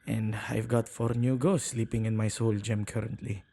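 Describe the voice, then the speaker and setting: A man's voice: on the low side, little pitch movement, mellow. A man playing a shy, awkward, kind-hearted witch who tends ghosts, speaking hesitantly in an ASMR roleplay. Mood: musing, reluctant. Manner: hesitant, halting, stammering. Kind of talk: ASMR boyfriend roleplay, acted monologue.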